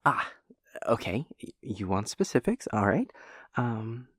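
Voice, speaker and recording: An actor voicing a hesitant, needling crew companion in a sci-fi audio roleplay, with a halting, stammering delivery. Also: medium pitch, sing-song, no room sound, clean studio sound. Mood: hesitant, probing. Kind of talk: anime-style audio roleplay acting.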